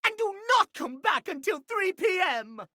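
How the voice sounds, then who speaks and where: A man's voice: extremely high pitch, very animated, normal speed, sharp tone, no echo, studio quality, exaggerated voice. A man voicing a fussy, pompous male clock obsessed with punctuality in a video game, with prim, haughty, precise elocution. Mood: outraged. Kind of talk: theatrical video game character acting.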